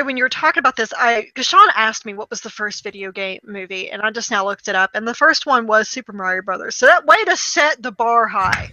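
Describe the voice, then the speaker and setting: A woman's voice: fairly high pitch, sharp tone, no echo, low-quality recording. A woman co-hosting a podcast, giving informal, opinionated commentary in a casual conversational style. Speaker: podcast co-host.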